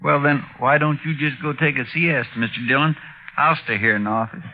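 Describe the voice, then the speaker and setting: A man's voice: medium pitch, a little fast, close to an everyday voice. A man plays an eager, folksy deputy in an old radio Western, always deferential. The sound is thin and narrow, with faint noise. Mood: chatty, earnest. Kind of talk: 1950s radio Western acting. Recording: tinny, noisy old radio recording.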